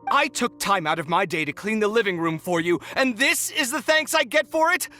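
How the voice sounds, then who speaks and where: An actor playing a proud, touchy young artist in a video game, with a haughty, exasperated, theatrical delivery. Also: male voice, sits high, expressive, a little fast, bright, forceful tone, faint music behind. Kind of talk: animated video game voice acting.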